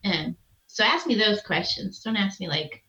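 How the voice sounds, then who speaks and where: A woman co-hosting a casual film podcast, chatty and candid, quick to joke. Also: medium pitch, lively intonation, bright, forceful tone, muffled recording. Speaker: podcast co-host. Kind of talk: casual chat about old films.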